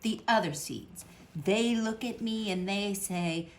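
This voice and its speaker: A woman reading a picture book aloud to children at storytime, expressive, with dramatic pauses. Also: mid-range voice, a little hiss or hum.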